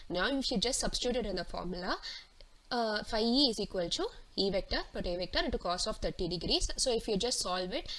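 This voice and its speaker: A woman's voice: mid-range voice, a bit bright. A woman teacher explaining worked exam solutions step by step, reading out questions and then walking through them. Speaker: physics teacher. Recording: clearly noisy recording with clicks.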